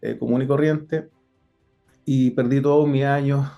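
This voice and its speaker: A man's voice: mid-range voice, fairly even intonation, unhurried, vocal fry, dull audio, soft background music. A middle-aged man with a Chilean Spanish accent, a former police investigator telling his story as an interview guest, earnest and full of hesitations and restarts. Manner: frequent hesitations and self-corrections, earnest. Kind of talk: interview, personal account.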